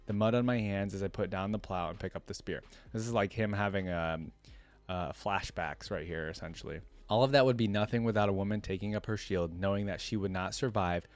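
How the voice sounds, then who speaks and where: Male reaction host, lowish voice, informal and colloquial delivery between storytelling and off-the-cuff commentary, very little room sound. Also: no room sound. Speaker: reaction video host reading a story aloud. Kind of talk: reading a fantasy story aloud with casual off-the-cuff commentary.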